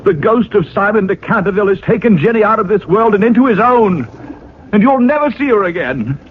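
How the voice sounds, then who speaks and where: An actor playing an earnest, protective young sweetheart in a 1980s radio drama, urgent and melodramatic. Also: male voice, high voice, animated, a little fast. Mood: despairing. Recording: tinny, hissy old radio recording.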